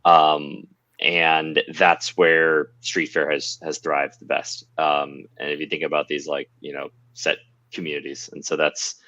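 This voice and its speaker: A man answering as a podcast guest in a casual, halting way, piecing his phrases together. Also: a bass voice, level tone, bright, forceful tone, no room sound, lo-fi sound. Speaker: podcast guest, company founder.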